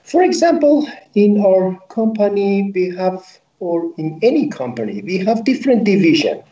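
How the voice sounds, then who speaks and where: A man in his forties from Iran with a Persian accent, a native Persian speaker explaining things as an interviewed company director in deliberate, halting English on a video call. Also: high-pitched, very animated, warm tone. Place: indoor office room with hard ceiling. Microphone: video call, laptop at desk distance. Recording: echoey, distant, muffled video-call audio.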